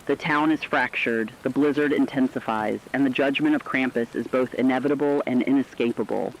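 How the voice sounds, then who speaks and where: A man playing a solemn radio reporter, reading scripted horror bulletins in a measured, foreboding way. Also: mid-range voice, steady tone, slight background noise, natural voice. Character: solemn radio field reporter warning of terror. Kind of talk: scripted dramatic radio news narration. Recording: dull lo-fi radio sound, rain behind.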